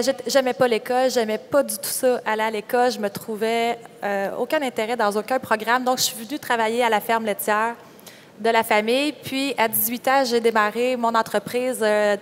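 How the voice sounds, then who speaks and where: A woman's voice: a little high, steady tone, fairly quick. A young woman with a Quebec French accent giving a candid, informal personal account as a conference panelist. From Quebec, Canada. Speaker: panelist, farm entrepreneur. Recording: reverberant hall sound.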